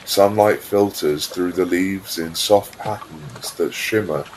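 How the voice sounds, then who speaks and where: A man narrating a scripted guided visualisation in a calm, soothing, unhurried way, leaving pauses between phrases. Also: deep voice, a little hiss or hum, poor audio.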